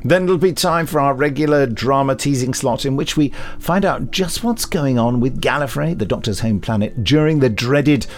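A man's voice: mid-range voice, normal speed, hardly any pauses. A British man co-hosting a podcast in a chatty way, enthusiastic and given to wry, teasing asides. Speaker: podcast co-host.

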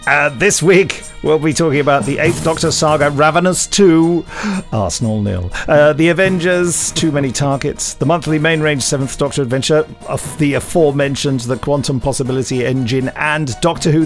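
A man's voice: a little high, moderate pace, faint music behind. A man hosting a podcast in casual, jokey studio chat. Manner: jokey, playful, teasing. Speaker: podcast host.

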